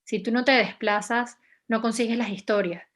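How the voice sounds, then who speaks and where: A woman's voice: fairly high pitch, steady tone, moderate pace, fairly bright tone. Reflective woman journalist from Venezuela. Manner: hesitant, many restarts and fillers, reflective. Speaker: journalist, guest. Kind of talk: interview answers.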